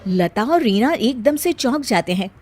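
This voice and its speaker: A woman's voice: a little high, animated, normal speed, darkish tone, soft background music. A woman narrating a scripted story in the role of storyteller.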